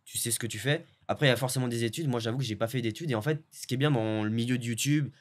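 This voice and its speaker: A man's voice: medium pitch, racing. Young man, laid-back slangy chat. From French Guiana. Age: young adult. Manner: relaxed, slangy, many fillers and restarts. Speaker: video editor guest. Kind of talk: casual interview chat.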